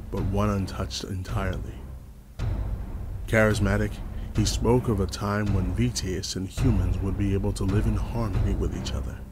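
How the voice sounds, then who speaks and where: A man delivering dramatic scripted narration for a dystopian fantasy, solemn and measured, with deliberate phrasing. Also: lowish voice. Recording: music behind the voice. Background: background music.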